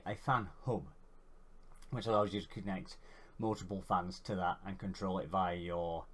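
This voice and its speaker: A man with a head cold and a congested voice, giving a rambling, casual how-to vlog. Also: low voice. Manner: rambling, chatty, self-deprecating. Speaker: YouTuber.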